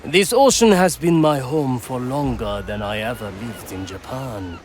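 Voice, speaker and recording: An actor playing a courteous, proud assassin who cooks aboard a ship in a video game, formal and measured. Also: male voice, mid-range voice, lively intonation, natural voice. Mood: composed. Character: courteous, proud Japanese assassin and ship's cook.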